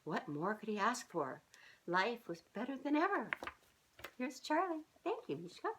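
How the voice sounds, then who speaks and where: A middle-aged woman reading a children's storybook aloud in an expressive, sing-song way, as if speaking directly to young listeners. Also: a little high, moderate pace, noisy background. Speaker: children's author reading her own book aloud. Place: small living room, on a couch indoors.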